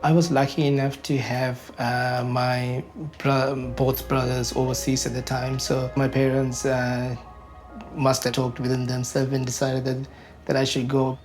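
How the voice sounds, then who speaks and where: A young man from Sri Lanka, speaking as an interviewee about his life, hesitant with many pauses. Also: mid-range voice, monotone. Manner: hesitant, many ums and uhs. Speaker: interviewee, community advocate. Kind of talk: recorded interview, reflective. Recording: background music under voice.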